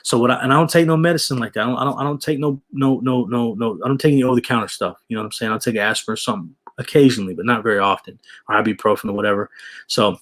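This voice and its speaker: A man's voice: medium pitch, fairly even intonation, rapid-fire, a bit bright. A man in his forties chatting casually with viewers, rambling, repeating himself and dropping "man" and "you know". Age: 40s. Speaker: vlogger reading viewer comments. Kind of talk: casual livestream chat.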